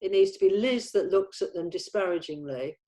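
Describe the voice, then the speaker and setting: A woman's voice: fairly low pitch, moderate pace, warm tone. Woman talking through a script. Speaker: participant in script table read. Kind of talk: casual script discussion.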